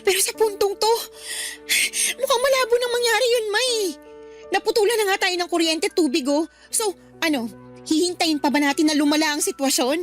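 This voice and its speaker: Defiant, outspoken Filipino daughter, radio drama, extremely high pitch, bitter and confrontational. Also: female voice, a little fast, faint music behind. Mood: resentful. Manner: confrontational, sarcastic.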